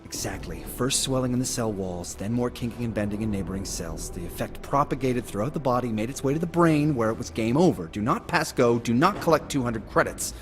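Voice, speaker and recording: A man acting as a dogged forensic investigator in a video game, with a mid-pitched voice. He talks briskly, chatty and wry with emphasis on key words, over clearly heard music. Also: lively intonation, close to an everyday voice. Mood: incredulous, explaining. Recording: game soundtrack music behind.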